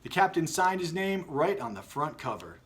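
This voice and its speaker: A man with a somewhat high voice hosts a read-aloud. His delivery is animated and expressive, with an informal, conversational feel. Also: moderate pace. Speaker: story reader and host. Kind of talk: animated children's read-aloud, then casual chat.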